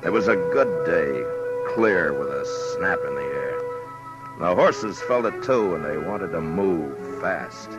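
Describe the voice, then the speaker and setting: Deep-voiced, grave Old West lawman. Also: expressive, muffled recording. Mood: easy, matter-of-fact. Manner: terse, grave, deliberate storytelling. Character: stern, weary Old West lawman narrating his story. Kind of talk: 1950s radio western acting, first-person narration. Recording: vintage 1950s radio recording with music.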